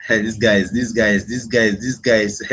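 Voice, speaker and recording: A man's voice: lowish voice, steady tone, slow pace, a bit bright, slightly rough, low-quality recording. A man with a Nigerian accent hosting a casual live webinar, chatting with his online audience and often repeating his phrases. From Imo State, Nigeria. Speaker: webinar host, internet marketing coach.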